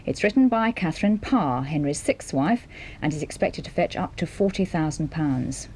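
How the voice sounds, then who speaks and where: A British woman reading a scripted news bulletin in a formal, measured manner. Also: sits low, lively intonation, a little mellow, a little hiss or hum. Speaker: television newsreader.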